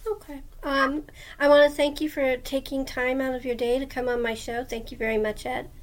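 A woman with a somewhat high voice hosts an interview show. She speaks as the one putting questions to a guest and steering the conversation. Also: flat delivery, normal speed. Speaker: show host. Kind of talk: interview hosting.

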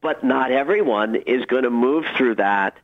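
A man speaking as a guest astrologer in a conversational radio interview, explaining things in an animated, emphatic way with expressive phrasing. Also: mid-range voice, measured pace, narrow, tinny audio.

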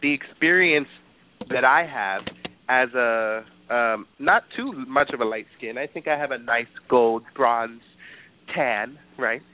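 A man's voice: mid-range voice, slow pace. A man from New York, a guest in a casual discussion, talking animatedly with frequent laughs and fillers. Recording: dry, tinny phone-like line.

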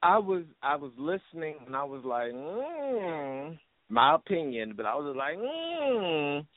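A man's voice: on the high side, fairly quick, no room sound. A man hosting a show, chatting casually as he puts questions to his guests. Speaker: show host. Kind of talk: casual interview chat. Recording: tinny phone-line radio show audio.

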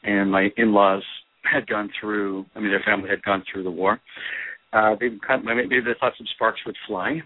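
Man, poet guest, lowish voice, thoughtful and halting, dry tinny phone line. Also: steady tone, dry recording. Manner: thoughtful, halting, restarts often. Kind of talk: conversational interview answers.

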